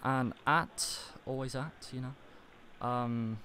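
Slow, deadpan man making a complaint call. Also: mid-range voice. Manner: deadpan, deliberate, stiffly formal. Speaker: prank caller posing as customer. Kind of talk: formal phone complaint. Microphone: computer internet call. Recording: internet call with clear background noise.

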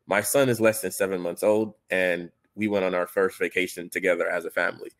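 A man's voice: low voice, fairly even intonation, brisk. A man from Haiti telling his story earnestly as a panelist on a video call, with many fillers and restarts.

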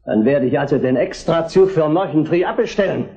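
An actor playing a courtly, formal Saxon minister who takes offence, in a historical radio play. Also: male voice, on the high side, expressive, fairly quick, warm tone. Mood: indignant. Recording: dull lo-fi drama recording.

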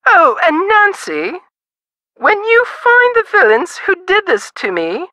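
An actress voicing a haughty, fussy rich society matron in a video game, with theatrical, imperious grand diction. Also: female voice, very high-pitched, wildly swooping pitch, slow pace, pushed and bright, no room sound, exaggerated voice.

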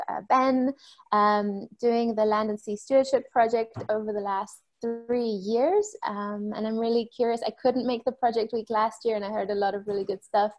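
A woman in her thirties introducing herself on a video call in a friendly, curious way, with a few hesitations. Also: a little high. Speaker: alumna and project coordinator.

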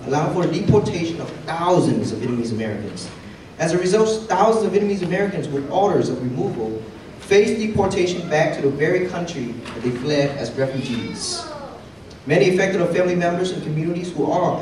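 A young man with a slightly high voice reads a prepared speech from his notes into a handheld mic. His words echo heavily through a large hall, and the recording catches him from far off with some noise. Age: young adult. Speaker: community speaker. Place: large meeting hall, indoors. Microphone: handheld mic, far camera. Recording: echoey hall, recorded from afar.

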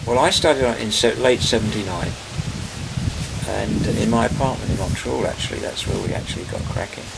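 Older man, gravel in the voice, lowish, rambling film veteran interview on a rural porch, heavy outdoor noise. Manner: rambling, halting, searching for names. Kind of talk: casual reminiscing interview. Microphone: camera mic, medium distance. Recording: loud outdoor background noise.

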